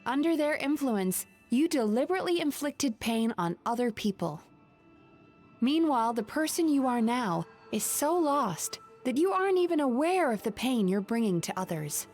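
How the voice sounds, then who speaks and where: A woman playing a composed, earnest dream therapist in a video game, measured, articulate and gently firm. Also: fairly high pitch, very animated, soft background music.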